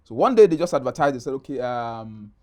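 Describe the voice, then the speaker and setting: A man's voice: mid-range voice, big pitch swings, a little fast, warm tone. A middle-aged Nigerian man, an actor being interviewed, telling personal stories in an emphatic, conversational way with repeated phrases. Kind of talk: animated personal storytelling in conversation.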